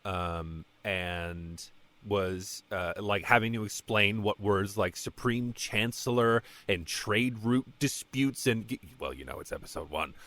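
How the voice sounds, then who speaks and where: Low-voiced young man, halting and rambling. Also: fairly bright tone, no room sound. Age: late 20s. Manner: halting, rambling, self-deprecating. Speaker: voice actor, podcast guest. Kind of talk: casual conversation about a fan project.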